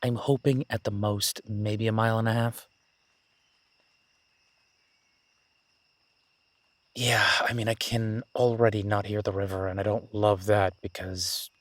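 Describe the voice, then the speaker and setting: Man playing an excitable, nerdy young academic explorer, dry sound. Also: on the low side, moderate pace, no room sound. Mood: conversational, wary. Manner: gushing, stammering, apologetic. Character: excitable, nerdy young male academic on his first jungle expedition. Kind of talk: immersive roleplay audio drama, one-sided conversation.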